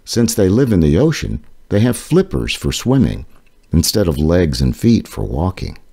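A man reads an educational book aloud to children in a deep, mellow voice. His delivery is deliberate and explanatory, with lively rises and falls in pitch across the phrases. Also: gravelly, breathy edge.

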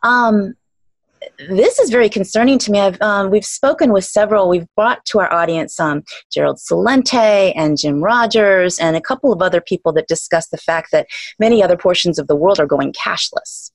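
A woman's voice: mid-range voice, animated, normal speed, no echo. A woman in her forties hosting a video call interview, talking conversationally with many fillers. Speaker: interview host. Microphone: video call webcam.

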